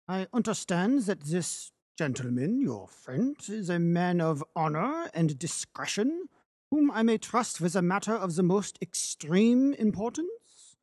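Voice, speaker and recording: A man's voice: high-pitched, a little mellow, dry recording, studio quality, pitched above the performer's own voice, full character voice. A man doing a lofty German-accented king. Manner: formal, grave and lofty. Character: imposing disguised foreign king, haughty man. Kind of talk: audiobook character voice.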